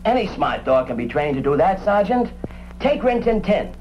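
An actor playing a proud, talkative animal-act agent in a radio drama, with a folksy, slangy way of talking. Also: male voice, high-pitched, very animated, moderate pace, faint music behind. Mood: boastful, chatty. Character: chatty, proud male animal-act agent, showbiz enthusiast. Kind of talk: old-time radio drama acting. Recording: muffled, faintly noisy old radio recording.

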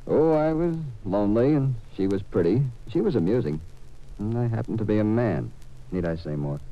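Actor as deep-voiced urbane attorney, old radio. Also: male voice, lively intonation, natural voice. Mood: confiding. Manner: urbane, measured. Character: wealthy, urbane, self-assured male attorney. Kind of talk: 1950s radio drama acting. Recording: dull old-time radio recording.

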